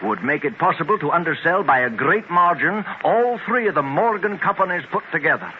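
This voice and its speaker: Actor as an old Scottish tycoon, muffled noisy recording. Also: male voice, a little high, animated, a little fast, close to an everyday voice. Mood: bold, triumphant. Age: older. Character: determined, idealistic old Scottish industrialist, genial and plain-spoken. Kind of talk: 1930s radio drama acting. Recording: muffled, noisy 1930s radio transcription.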